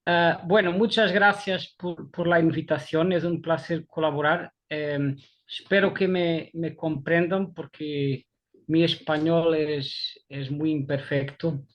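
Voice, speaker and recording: A man from Portugal with a Portuguese accent, giving an online presentation in a halting way, often repeating words as he searches for the next one. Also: on the high side, steady tone, dull audio. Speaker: guest speaker. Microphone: video call.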